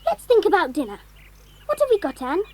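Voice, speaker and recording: An excitable, strong-willed tomboy in a children's radio adventure. The voice is very high and swoops about emphatically, full of youthful eagerness. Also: female voice, fairly quick. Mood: indignant, eager. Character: eager, strong-willed tomboy girl, devoted to her dog. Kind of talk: children's audio drama acting.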